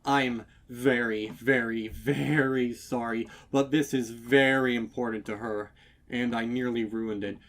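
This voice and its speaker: Man acting a loud, frantic pony husband. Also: medium pitch, steady tone, measured pace. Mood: desperate pleading. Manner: urgent, emphatic, pleading. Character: frantic male pony husband, urgent and pleading.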